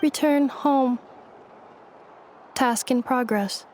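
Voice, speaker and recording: A female robot from a video game. The voice is slightly high and very slow, with clipped, literal fragments and a machine-like deadpan delivery. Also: a little hiss or hum. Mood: blank, halting. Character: female robot girl messenger, emotionless and literal.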